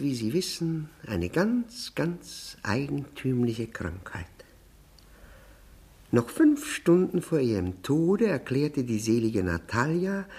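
An actor in a radio play, speaking as a bitter, mocking widower who is falsely servile, insinuating and theatrical, drawing words out and dropping into breathy whispers. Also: male voice, mid-range voice, darkish tone, exaggerated voice. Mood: brooding, confiding. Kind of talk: German radio play acting.

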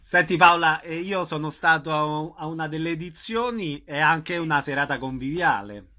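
A man's voice: a little high, expressive, moderate pace, pushed and bright. A man interviewing a guest casually, in an easygoing conversational way, as a radio host.

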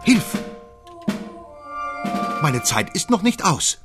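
A man's voice: a little high, sing-song, extremely slow, loud music behind the voice, voice pushed up, heavily acted. A man voicing a desperate old witch woman from a Gothic tale, crying out imploringly. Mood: desperate, screaming. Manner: screamed, imploring outcries.

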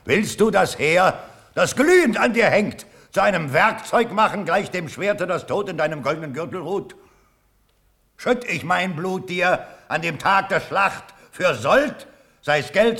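Impassioned old colonel, wide-swinging delivery. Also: male voice, sits high, exaggerated voice. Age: older. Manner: declamatory, impassioned, swinging from hushed to forceful. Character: old loyal cavalry colonel, bluntly passionate. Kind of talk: classical radio drama verse acting.

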